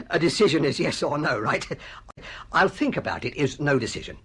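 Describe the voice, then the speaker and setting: An actor in a radio drama playing a middle-aged film producer, husky, shrewd and persuasive, with clipped, emphatic sales patter. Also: male voice, a little high, lively intonation, normal speed, a bit bright, full character voice. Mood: persuasive, jovial.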